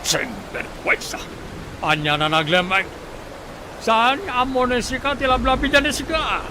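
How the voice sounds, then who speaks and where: An actor playing an angry, domineering, scornful boss in a Philippine radio drama, cursing and interrogating. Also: male voice, extremely high pitch, measured pace. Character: angry, domineering male boss hunting his child's assailant. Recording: clearly noisy radio drama audio.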